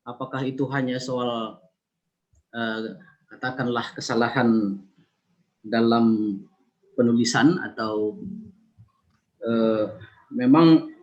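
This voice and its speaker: A man's voice: medium pitch, steady tone, slow pace, pauses often, highs cut off. A man from Karo asking earnest questions at a seminar, repeating his points with hesitant fillers. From Karo, North Sumatra.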